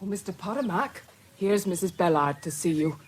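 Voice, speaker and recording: An actress playing a homely, forthright housekeeper, chatty and deferential yet opinionated, in a radio drama. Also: female voice, lowish voice, lively intonation, moderate pace, background noise, close to an everyday voice. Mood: fussing. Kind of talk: British radio drama acting.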